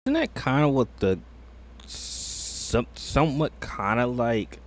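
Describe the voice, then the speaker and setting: A man's voice: mid-range voice, very animated, slow pace, music in the background. A man streaming a reaction video, thinking aloud in a hesitant, rambling way full of fillers. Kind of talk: casual reaction commentary.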